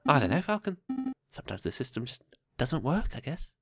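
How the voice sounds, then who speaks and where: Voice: mid-range voice, big pitch swings, fairly quick. A game player voicing a small, earnest young male sparrow detective in a polite, hesitant way, with faint music behind. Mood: consoling.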